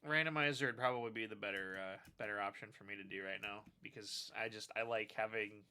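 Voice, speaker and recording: A man's voice: mid-range voice, vocal fry, bright, forceful tone. A man commenting casually on his game playthrough, sounding sinusy from allergies, rambling and swearing mildly. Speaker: gaming video host. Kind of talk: casual let's-play commentary.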